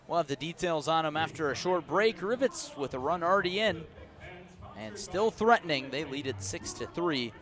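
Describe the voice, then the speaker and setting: A man's voice: a little high, expressive, normal speed, fairly bright tone. A man doing live radio play-by-play of a baseball game as the announcer. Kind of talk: live radio sports commentary. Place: press box at an outdoor ballpark.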